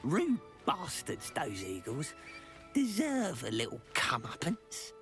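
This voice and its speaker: Slow, swooping, grumbling creature. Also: female voice, rumbling low, wildly swooping pitch, soft background music, full character voice. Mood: spiteful. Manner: blunt, sardonic, halting short phrases. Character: sly, grumbling talking creature resenting bullying eagles. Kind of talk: video game creature acting.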